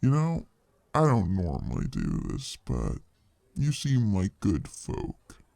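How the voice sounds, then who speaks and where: A man's voice: fairly low pitch, huge pitch swings, takes it slowly, vocal fry, darkish tone, no echo, exaggerated voice. A man playing a friendly, easygoing fantasy tavern bartender who chats casually and folksily to the listener in an ASMR roleplay. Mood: welcoming, warm. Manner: laid-back, hesitant, folksy, chatty.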